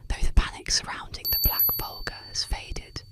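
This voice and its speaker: Whispering woman telling eerie bedtime legends. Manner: slow, hushed, deliberate phrasing with pauses. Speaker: ASMR host and storyteller. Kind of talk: whispered ASMR scripted narration of eerie legends. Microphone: close-up microphone.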